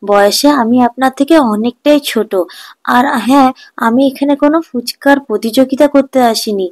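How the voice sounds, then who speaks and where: A woman's voice: on the high side, normal speed, darkish tone, close to an everyday voice. A woman voicing a polite, trusting young man who runs a food stall in a children's cartoon, earnest and mild. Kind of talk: Bengali children's cartoon dubbing.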